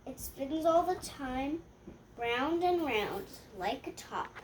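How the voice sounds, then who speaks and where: A young girl with a high voice reads a rhyming picture book aloud. She goes slowly and haltingly, stumbling over words and fixing them as she goes. There is some light noise in the home recording. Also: expressive, rough recording. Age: child. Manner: halting read-aloud with stumbles and self-corrections.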